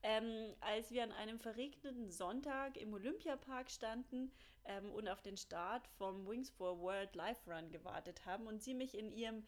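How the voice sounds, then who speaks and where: A woman's voice: fairly high pitch, moderate pace. A young woman from Bavaria hosting a podcast interview, gushing and chatty, with many fillers. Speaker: podcast host.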